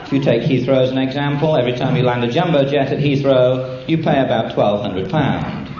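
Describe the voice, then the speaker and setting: British male after-dinner speaker, mid-pitched, dry deadpan comic delivery, echoey room. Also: a little fast. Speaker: air traffic controller giving an after-dinner speech. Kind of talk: humorous after-dinner speech. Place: function room with an audience. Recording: echoey, dull, very noisy live room recording. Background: audience laughter, loud noise.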